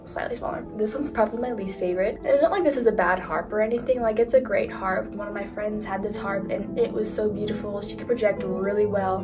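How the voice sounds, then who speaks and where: A young woman with a stuffy, congested voice chatting in a bubbly, giggly way, filmed on a phone in a practice room. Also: medium pitch. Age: young adult. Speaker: harp student vlogger. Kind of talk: casual vlog walkthrough. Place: university harp practice room, indoors. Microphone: handheld phone camera, moving around. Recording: distant phone mic with harp playing.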